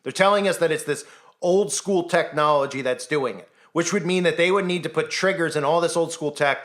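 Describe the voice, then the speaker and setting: This is a man on a commentary monologue, his voice sitting a little higher than most men's. He talks insistently and rhetorically, stacking up questions and "right?" to press his point. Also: a little fast, a bit bright. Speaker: commentary channel host.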